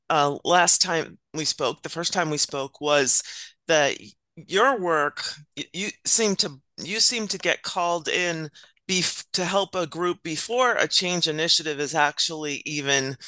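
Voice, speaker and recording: An interview host asks friendly questions that halt and restart along the way. The tone is bright and forward, recorded dry and close to the mic. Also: mid-range voice, dry recording. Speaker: host, change management professional.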